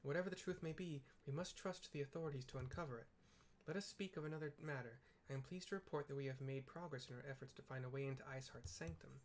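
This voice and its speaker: A man voicing an earnest woman leader in a fantasy game, with formal, measured, archaic phrasing. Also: medium pitch, close to an everyday voice.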